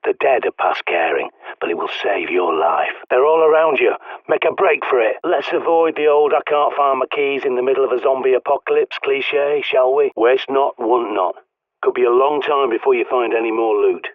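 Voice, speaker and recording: An actor playing a gruff ex-army male survivalist with a British accent, giving blunt orders over a radio link. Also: on the low side, no room sound. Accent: British English. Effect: radio transmission filter. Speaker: radio guide character. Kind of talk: video game voice acting. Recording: tinny radio-filtered dry studio voice.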